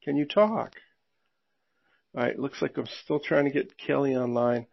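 Flustered, gravelly webinar moderator, a man. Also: mid-range voice, muffled recording. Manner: flustered, halting, checking on guests. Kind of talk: hosting a live webinar.

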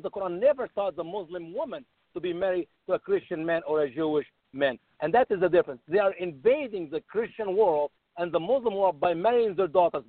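A man's voice: high voice, dry recording. A man calling into a talk radio debate as a guest, insistently pressing to finish his point. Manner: insistent, keeps cutting in to speak. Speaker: guest, radio show host. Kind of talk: phone-in panel debate. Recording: thin phone line, dry.

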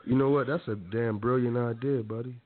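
A man's voice: medium pitch, fairly even intonation, brisk. A man hosting a radio show, an enthusiastic and slangy interviewer. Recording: phone-like narrow sound.

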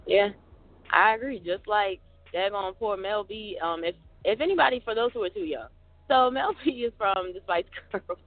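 A man's voice: high-pitched, moderate pace, no room sound. A man co-hosting a casual podcast, chatting in a rambling way with lots of fillers. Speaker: podcast co-host. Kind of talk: casual chat. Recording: tinny phone-like sound, dry.

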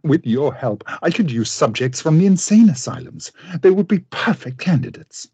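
An actor in a radio play playing an obsessive, idealistic scientist with a husky voice and an intense, grandiose, formal manner. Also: male voice, a little high, sing-song, moderate pace, darkish tone, no echo, exaggerated voice. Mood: fervent, persuasive. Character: obsessive, idealistic male scientist with a vengeful streak.

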